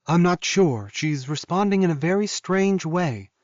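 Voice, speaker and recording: A man voicing a caring, maternal female werewolf doctor in her mid-forties, explaining things in a measured audiobook style. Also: high-pitched, animated, no echo, dull audio, voice pushed up. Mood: concerned, thoughtful. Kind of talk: audiobook character dialogue.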